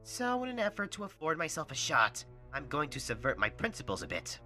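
Comic book assassin villain: highish, wildly animated, mocking, wisecracking, menacing, music underneath. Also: male voice, fairly quick, heavily acted. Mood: cocky, menacing. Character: gleeful taunting male assassin villain, cocky and murderous. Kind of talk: comic book dub voice acting. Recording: music behind.

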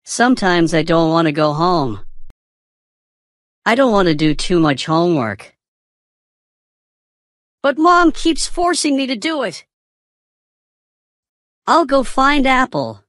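A woman voicing a whiny, earnest little schoolboy in a scripted cartoon, speaking simply and deliberately. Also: on the low side, sing-song, a bit bright, dry recording, clean studio sound, heavily acted. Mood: sulky. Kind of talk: slow scripted English-learning cartoon dialogue.

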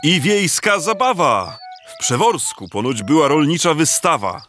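An actor playing a jovial, teasing village neighbour in a rhymed children's radio play, with playful theatrical exclamations. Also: male voice, on the high side, very animated, unhurried, fairly bright tone, soft background music. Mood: teasing, amused. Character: teasing, jovial village neighbour man urging a bachelor to marry.

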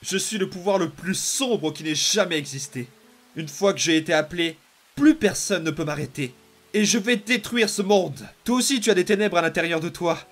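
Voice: mid-range voice, animated, normal speed, lightly acted. An actor voicing a vicious, obsessive young demon boy in a dramatic comic dub, taunting and theatrical. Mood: menacing. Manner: menacing, taunting, theatrical outbursts and mutters.

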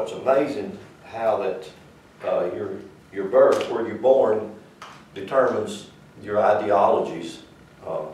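Older man, Southern US accent, folksy church teacher, low voice, far from the mic. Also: darkish tone, a little hiss or hum. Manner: folksy, rambling, conversational with audience asides. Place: small church classroom, indoors. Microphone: far camera. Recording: echoey room from far off.

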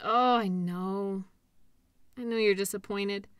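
A woman's voice: medium pitch, fairly even intonation, slow pace, smooth, dry recording, clean studio sound, lightly acted. A woman playing a caring, upbeat girlfriend in an intimate roleplay audio, talking in a soothing, playful, encouraging way. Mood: tender, sympathetic. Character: caring, upbeat girlfriend comforting an injured partner.

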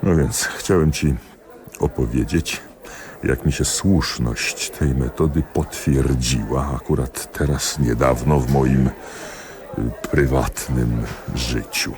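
A man acting in a radio play as a cynical, jealous middle-aged examining magistrate who speaks emphatically and haltingly. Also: a bass voice, darkish tone, exaggerated voice. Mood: confiding. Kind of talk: theatrical radio drama dialogue.

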